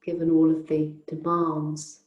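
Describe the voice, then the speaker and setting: A woman teaching yoga nidra, guiding a relaxation in a soothing, unhurried, gently inviting way with long pauses. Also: deep voice, monotone, roomy sound, highs cut off. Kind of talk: guided relaxation meditation.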